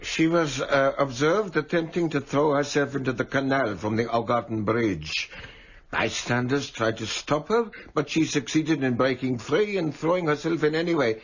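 A busy hospital doctor in a radio play. He is courteous and formal, with a husky voice of middling pitch. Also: moderate pace. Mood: businesslike. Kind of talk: radio drama acting. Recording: slightly dull radio recording.

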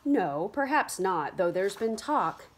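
A woman reading the lines of a serious, cautious middle-aged Puritan aunt who gently admonishes her niece. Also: medium pitch, very animated, slow pace.

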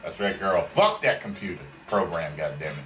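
A man's voice: sits low, lively intonation, brisk, pushed and bright, soft background music. A man in his thirties chatting casually and jokily as a reaction video host, swearing freely, recorded at a desk in a room at home. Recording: echoey room.

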